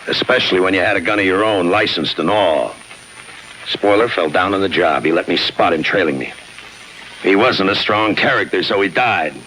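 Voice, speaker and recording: Low, hard-edged sardonic police lieutenant. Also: male voice, normal speed. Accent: American. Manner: clipped, deadpan, needling wisecracks. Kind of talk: 1950s radio crime drama acting. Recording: noisy old 1950s radio broadcast.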